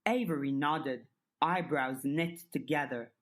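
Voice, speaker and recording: A woman's voice: deep voice, slow. A woman reading scripted fiction as an audiobook narrator.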